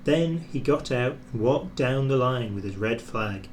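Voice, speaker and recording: Male narrator, slow pace, expressive storybook reading, faint background noise. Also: mid-range voice. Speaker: storybook reader.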